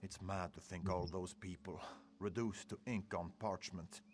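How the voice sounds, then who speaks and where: An actor playing a gruff, weary centurion in a video game, plain-spoken and wry. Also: male voice, low voice, fairly even intonation, unhurried, slight background noise. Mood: wry, relieved. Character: gruff male soldier, a centurion and family man, weary. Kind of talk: video game dialogue acting.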